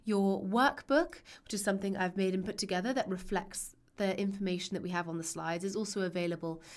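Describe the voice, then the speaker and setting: A young woman teaching a live online lesson, her voice raspy and hoarse from a cold, chatty and reassuring with frequent ums. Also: medium pitch, a little fast. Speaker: literature teacher.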